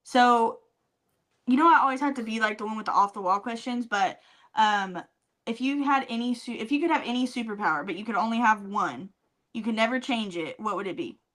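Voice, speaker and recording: High-pitched woman, emphatic casual podcast chat. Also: a little fast, fairly bright tone. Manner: emphatic, slangy, repeats phrases for effect. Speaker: podcast co-host.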